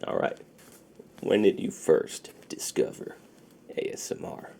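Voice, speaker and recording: A man doing a soft-spoken ASMR roleplay as a shady, friendly underground dealer, with slow folksy patter that often drops to a near-whisper. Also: a little hiss or hum. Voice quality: gravelly, low, often breaking into near-whisper.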